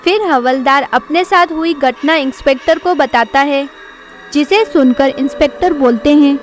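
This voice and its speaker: A woman's voice: sits high, measured pace. A woman narrating a scripted horror story. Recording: background music.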